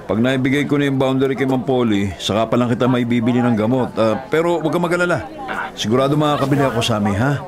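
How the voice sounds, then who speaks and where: An actor playing a caring, hardworking Filipino delivery rider, earnest and coaxing, in a radio drama. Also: male voice, mid-range voice, quick pace, crowd noise, lightly acted. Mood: reassuring.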